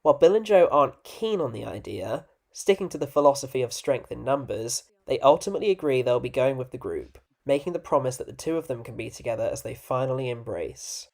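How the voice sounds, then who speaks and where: A man's voice: mid-range voice, lively intonation, a little fast, vocal fry, hardly any pauses, a little mellow. Male video essay narrator, informal and opinionated, scripted delivery with wry casual asides.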